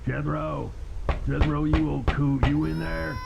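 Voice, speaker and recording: An actor playing a gruff, practical frontier guide who talks bluntly and folksily. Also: male voice, on the high side, flat delivery, measured pace, background noise.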